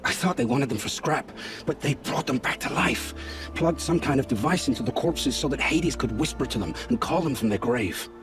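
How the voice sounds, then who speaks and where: A man playing a guilt-ridden scavenger scout in a video game, speaking gravely and with haunted, poetic phrasing. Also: mid-range voice, animated, moderate pace, natural voice. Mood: explaining, uneasy. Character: guilt-ridden male scavenger scout, forced informant, begging for family. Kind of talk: dramatic video game acting. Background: background music.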